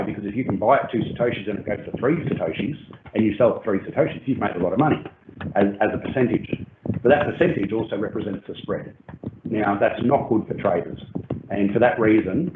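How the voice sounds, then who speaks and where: Low-voiced man on a tinny, echoey call. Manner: hesitant, many ums and restarts. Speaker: crypto project team lead. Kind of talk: explanatory AMA talk. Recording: tinny phone-like call audio, roomy and noisy.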